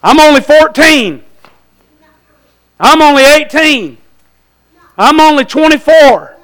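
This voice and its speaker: A male preacher with a Southern US accent and an extremely high-pitched voice. His tone is bright and forceful. He delivers a church sermon in a folksy, urging style, leaning on repeated phrases and direct questions. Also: expressive, slow pace.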